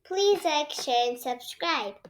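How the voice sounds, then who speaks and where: A child reading a storybook aloud as host, halting and repeating words along the way. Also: high voice, lively intonation. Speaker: read-aloud channel host.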